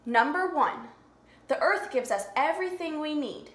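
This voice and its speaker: A woman's voice: high voice, lively intonation, moderate pace, fairly bright tone. A woman reading a picture book aloud to young children like a preschool teacher, explaining in simple words and asking them questions. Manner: simple explanatory phrasing, prompting listeners with questions. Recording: echoey room.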